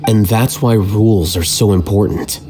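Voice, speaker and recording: A man voicing a calculating young scholar in a video game, measured, aloof and matter-of-fact. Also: on the low side, mellow, close to an everyday voice. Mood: aloof, explanatory. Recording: clear music behind the voice.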